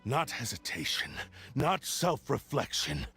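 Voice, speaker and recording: A man's voice: medium pitch, very animated, measured pace, bright, forceful tone, exaggerated voice. An actor playing a proud, menacing male sword spirit in a video game, speaking in haughty, archaic, formal phrases. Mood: defiant. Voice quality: gravelly, husky. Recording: game music behind the voice.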